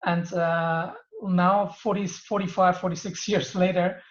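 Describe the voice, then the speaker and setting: A man's voice: high voice, level tone, unhurried, muffled recording. Hesitant man, echoey. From Germany. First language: Turkish and German. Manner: hesitant, many ums and restarts. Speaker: venture capital partner, guest. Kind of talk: interview answers.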